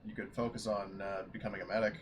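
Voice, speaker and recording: A man chatting casually as a tabletop game master, speaking haltingly as he thinks aloud. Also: lowish voice, level tone, a little hiss or hum. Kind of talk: casual post-game chat.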